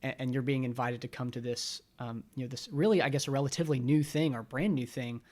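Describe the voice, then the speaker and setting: A man's voice: mid-range voice, steady tone, quick pace, a little mellow. A man hosting a casual podcast interview, upbeat and enthusiastic. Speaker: podcast host.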